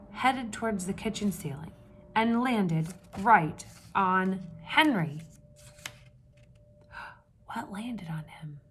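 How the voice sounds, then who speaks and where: A woman reading a picture book aloud to children with lively expression, pausing to ask her listeners questions in hushed asides. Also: medium pitch, a little hiss or hum. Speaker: read-aloud storyteller for children.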